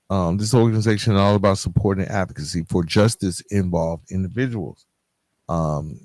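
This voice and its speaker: Man, lowish pitch, podcast host, conversational solo talk, informal, dry room sound. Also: a little mellow, no echo.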